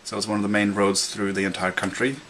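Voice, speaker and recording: A man's voice: on the low side, flat delivery, quick pace, a bit bright, a little hiss or hum. A young man from Norway with a Norwegian accent, telling a rambling story in a casual vlog, full of fillers, restarts and chuckles. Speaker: vlogger.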